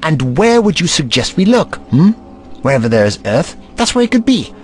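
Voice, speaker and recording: A man playing an eccentric, brainy time traveller in an audio drama, kindly but testy, musing aloud in a wordy, gently pedantic manner. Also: on the high side, big pitch swings, normal speed. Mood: confident, instructing.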